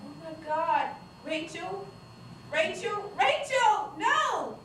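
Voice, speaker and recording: An actress reading the part of a high school senior whose friend was shot, at a table read. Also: female voice, very animated, takes it slowly, exaggerated voice. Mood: desperate. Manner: pleading, tearful line reading. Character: teen girl pleading over her dying friend. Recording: echoey room from far off with noise.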